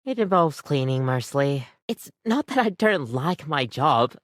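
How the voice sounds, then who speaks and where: Lively, overworked barista. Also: female voice, deep voice, a little slow, no room sound, clean studio sound. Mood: apologetic, chatty. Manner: casual, rambling, self-deprecating, hesitant. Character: overworked, apologetic female coffee-maker barista, chatty and wearily devoted. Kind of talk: video game character acting, conversational.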